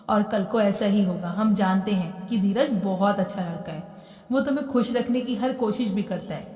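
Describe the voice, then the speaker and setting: A woman voicing a wise, caring middle-aged mother in a cartoon story, explaining in a calm, lecturing way. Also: medium pitch, natural voice. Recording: roomy echo, distant from mic.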